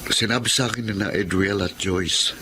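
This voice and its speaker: A man's voice: lowish voice, steady tone, normal speed, noisy background, close to an everyday voice. A man acting a sincere, devoted suitor in a Filipino radio drama, earnest and tender in manner.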